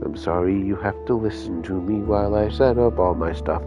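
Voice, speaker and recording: A man's voice: on the low side, moderate pace. A man live-streaming a game with a stuffy nose, talking casually and grumbling with the odd swear. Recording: dull lo-fi stream audio. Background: game music.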